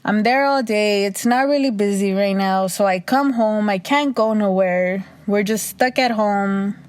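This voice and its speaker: A young woman with a raspy voice hosting her own podcast, talking loosely and casually, swearing freely, a little tipsy. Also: mid-range voice, a little slow, dry recording. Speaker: podcast host. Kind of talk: casual solo monologue.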